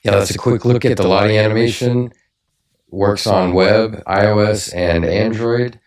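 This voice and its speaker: Deep-voiced man in an echoey clip. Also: fairly even intonation, unhurried, a little mellow. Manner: casual, upbeat greeting to viewers. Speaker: product announcer in a played clip. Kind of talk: casual product announcement video. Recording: clip played through speakers into a room.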